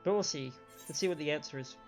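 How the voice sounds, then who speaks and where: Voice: mid-range voice, quick pace. A game streamer giving casual, analytical live commentary and explaining things in a conversational way. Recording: dull lo-fi mic over faint music. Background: faint game music.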